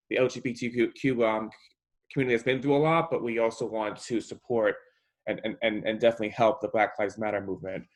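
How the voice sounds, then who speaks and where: A man hosting a webinar panel over a video call, hesitant with a slight stutter and many fillers. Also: mid-range voice. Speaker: host and moderator. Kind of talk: casual webinar moderation.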